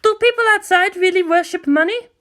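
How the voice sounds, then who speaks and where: A woman's voice: very high-pitched, a little fast, a bit bright, pitched above the performer's own voice. A woman voicing an innocent, earnest young girl in a storybook reading. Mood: troubled wonder.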